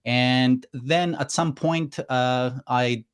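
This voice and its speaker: A man from Greece speaking as a guest in a podcast interview. He talks at a relaxed pace, hesitating often with "uh" and the odd soft laugh. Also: medium pitch, extremely slow, smooth. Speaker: guest engineer. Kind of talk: casual interview chat.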